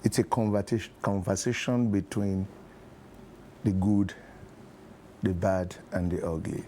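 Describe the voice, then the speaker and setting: A Nigerian man speaking as an interview guest, explaining deliberately in short rhetorical phrases. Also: low-pitched, fairly even intonation, warm tone, slight background noise. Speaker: interview guest, theatre producer.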